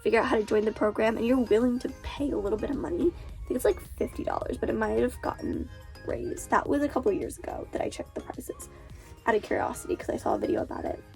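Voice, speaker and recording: Stuffed-up nasal teen girl author vlogging, kinda high voice, faint music behind. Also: very animated, quick pace, vocal fry, a little mellow. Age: teens. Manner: chatty, lots of fillers like "like". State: congested, stuffy nose from a cold. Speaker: author and booktuber. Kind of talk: casual vlog talk.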